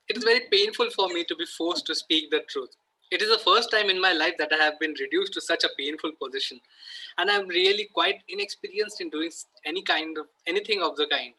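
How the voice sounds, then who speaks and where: Flustered Victorian gentleman for stage comedy, man reading, very sharp bright tone, husky, formal. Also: on the high side, heavily acted, poor audio. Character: earnest, flustered Victorian gentleman caught lying. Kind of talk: amateur table read of a stage comedy.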